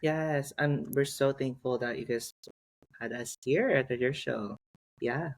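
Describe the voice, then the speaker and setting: Guy guesting on a podcast, lots of um and like, mid-pitched voice. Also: creaky. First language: Tagalog. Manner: halting, many fillers and restarts. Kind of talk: casual podcast chat.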